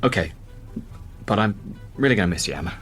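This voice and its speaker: A man's voice: on the low side, expressive, a little fast, fairly bright tone, soft background music. An actor playing an awkward, put-upon, decent British man in a radio sitcom, hesitant and stammering, prone to exasperation. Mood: flustered, apologetic. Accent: British English.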